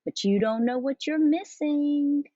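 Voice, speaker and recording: A woman's voice: high voice, measured pace, warm tone, dry recording, dull audio, voice pushed up. A woman voicing an affectionate but firm mother cat in a storybook, coaxing in a sing-song way. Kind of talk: storybook character voice.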